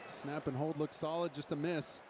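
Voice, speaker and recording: A male colour analyst with a slightly high voice breaks down football plays for a broadcast. He explains things in coaching jargon, with clear game noise behind him. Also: little pitch movement, moderate pace. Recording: noisy game broadcast audio.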